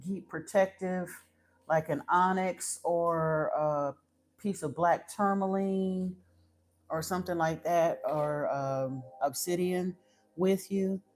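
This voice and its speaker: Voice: mid-range voice, darkish tone. Chatty, direct livestream spiritual host. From Florida, United States. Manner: chatty and direct, turns blunt and stern; frequent fillers and self-restarts. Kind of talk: casual livestream chat answering viewer comments.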